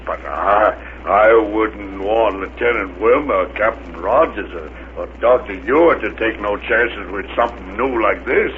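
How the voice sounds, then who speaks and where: An actor playing a bumbling, boastful, uneducated spaceship mechanic with folksy grammar and flustered stammering, in 1930s radio serial style. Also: male voice, lowish voice, animated, a little slow, few pauses. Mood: bluffing, self-important. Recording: scratchy, tinny 1930s radio recording.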